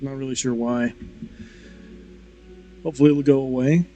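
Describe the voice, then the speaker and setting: A man's voice: medium pitch, steady tone, moderate pace, warm tone, lo-fi sound, faint music behind. A man streaming a model-kit build, chatting casually in a slightly nasal, tired voice with lots of fillers. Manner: laid-back, rambling with fillers. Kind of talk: casual livestream chat.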